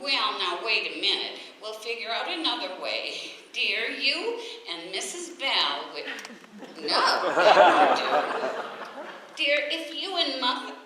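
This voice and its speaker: A woman's voice: a little high, very animated, takes it slowly, background noise. An American woman playing a cheerfully scatterbrained, earnest wife who muddles her words in an old radio comedy. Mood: muddled. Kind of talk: 1940s radio comedy acting. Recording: very echoey old radio recording.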